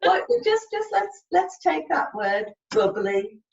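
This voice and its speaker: A woman giving a one-to-one lesson, coaxing and prompting, repeating words deliberately and spelling out letters one by one on a video call. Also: high voice, sing-song, creaky. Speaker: communication support partner helping a learner spell out words. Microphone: video call, away from the microphone. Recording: echoey room, muffled distant mic, faint noise.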